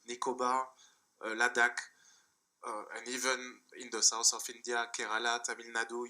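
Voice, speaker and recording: French man, conference panelist, bright tone, measured explainer. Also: mid-range voice, rough recording. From France. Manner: measured, explanatory, with small restarts. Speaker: panelist, hydrogen developer.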